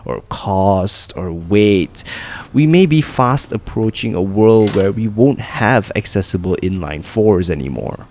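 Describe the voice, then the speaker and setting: A man with a Malaysian English accent, casually and enthusiastically reviewing motorcycles outdoors. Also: lowish voice. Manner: relaxed, enthusiastic, code-switching. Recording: outdoor traffic noise.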